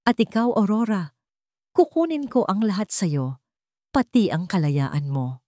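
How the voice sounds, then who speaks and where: A woman's voice: medium pitch, lively intonation, measured pace, mellow, no echo, clean studio sound, lightly acted. A woman voicing a scheming, ruthless man who taunts and threatens his rivals in a storybook drama. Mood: taunting. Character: scheming ruthless male rival, sneering and cold.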